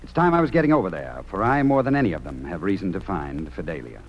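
A man's voice: low-pitched, very animated, fast talker, muffled recording, loud music behind the voice. A man playing an obnoxiously egotistical, sadistic radio executive narrating a melodramatic old-time radio drama. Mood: smug, melodramatic. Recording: noisy old radio recording.

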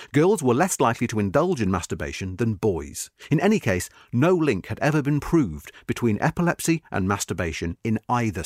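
A man reading a history magazine article aloud in a measured, expressive way, slowing at the ends of sentences. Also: mid-range voice, no room sound, studio quality. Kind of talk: scripted narration.

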